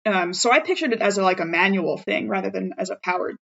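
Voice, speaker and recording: A woman playing a tabletop roleplaying game in casual improvised chat, animated and thinking aloud. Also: mid-range voice, quick pace, vocal fry, highs cut off.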